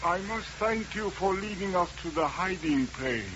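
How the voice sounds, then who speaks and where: A small Egyptian villain of radio drama, his voice somewhat high. He threatens with formal, stilted courtesy. The old recording is dull and faintly noisy. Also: expressive, normal speed, fairly bright tone. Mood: smoothly menacing. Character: slight, polite, menacing Egyptian male gunman.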